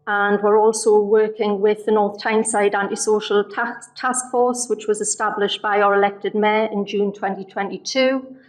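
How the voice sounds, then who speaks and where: A woman councillor giving a measured speech in a council meeting, pausing with ums, her voice a little strained. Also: a little high. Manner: measured, steady delivery with frequent ums. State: losing her voice. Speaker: councillor moving an amendment. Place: council chamber.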